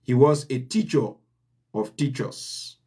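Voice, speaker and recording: A man with a Liberian accent delivers a motivational message in an unhurried, deliberate way. His voice sits at an ordinary middle pitch. He speaks earnestly and repeats key lines to drive them home. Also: warm tone, breathy edge. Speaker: channel host. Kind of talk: motivational address.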